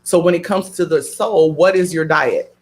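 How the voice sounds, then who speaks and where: Man, radio talk show guest, slightly high voice, preacherly, stacks rhetorical questions. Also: moderate pace. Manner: preacherly, builds points with repeated questions.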